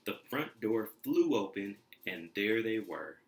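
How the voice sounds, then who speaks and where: A man reading a children's storybook aloud in an expressive, deliberate narrating style. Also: on the low side, very noisy. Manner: expressive, deliberate, acts out sounds. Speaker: storybook reader.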